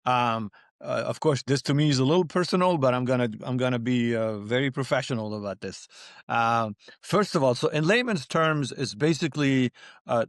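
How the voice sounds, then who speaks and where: A man from Lebanon hosting an interview podcast in a conversational way, halting and often repeating his words. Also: medium pitch, no room sound, studio quality. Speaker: podcast host.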